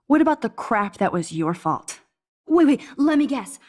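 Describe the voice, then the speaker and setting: A woman playing an intense, argumentative teenage girl in a video game, with a slightly husky voice. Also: a little high, exaggerated voice. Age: young adult. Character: teenage girl confronting her cruel, mocking double.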